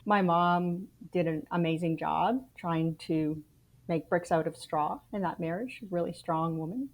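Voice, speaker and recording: A woman's voice: lowish voice, a little slow. A Canadian woman in her forties, a podcast guest, telling her life story frankly and with dry wry humor. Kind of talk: casual interview storytelling.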